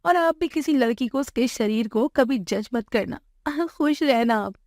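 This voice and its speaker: A woman's voice: a little high, lively intonation, unhurried, a little mellow, dry recording, clean studio sound, voice pushed up. A woman voicing a hurt but dignified young wife in a story, earnest and plaintive.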